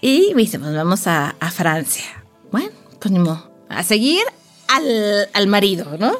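A Mexican woman in her forties, chatting as a podcast guest. She tells her stories in a lively, laughing way at a medium pitch. Faint music sits low behind her voice. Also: a little slow. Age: 40s. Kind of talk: casual chat.

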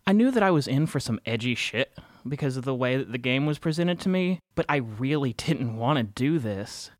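A man's voice: medium pitch, lively intonation, normal speed, dry recording, lightly acted. A man playing a wry, guarded young man, narrating in a dry, self-deprecating, conversational way as if confessing on his own podcast. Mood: reluctant, wry. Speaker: narrator and protagonist of an audio fiction podcast. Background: instrumental music.

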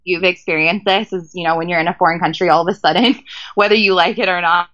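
A woman's voice: fairly low pitch, fairly even intonation, fast, a bit bright, lo-fi sound. A woman from California with an American accent, chatting as an interview guest, talkative and laughing often at herself. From California, USA. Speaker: guest, traveler. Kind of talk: casual conversational interview.

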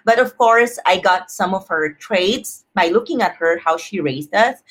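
Female podcast guest, mid-range voice, casual and expressive, acts out quoted thoughts. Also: medium pitch, a little slow. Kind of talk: casual personal conversation.

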